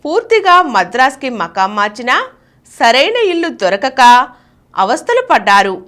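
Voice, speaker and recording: Animated high-voiced woman narrator. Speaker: narrator reading a biography. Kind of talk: scripted narration, storytelling.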